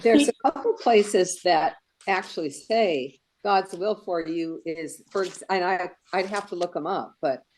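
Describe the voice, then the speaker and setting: A woman's voice: lowish voice, a little slow, hardly any pauses, a little mellow, a little hiss or hum, poor audio. A woman in a Bible study group, answering hesitantly and thinking aloud. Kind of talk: casual group discussion.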